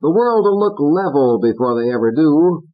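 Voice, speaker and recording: A man voicing a foul-mouthed, bullying male ventriloquist dummy in an audiobook, shrill and sneering. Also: expressive, moderate pace, dull audio, close to an everyday voice. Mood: ranting. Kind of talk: audiobook character voice.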